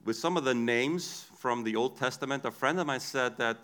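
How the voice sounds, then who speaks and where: A man's voice: mid-range voice, moderate pace, a bit bright. A man with an Icelandic accent, a native Icelandic speaker, preaching a sermon in a conversational storytelling way with frequent restarts.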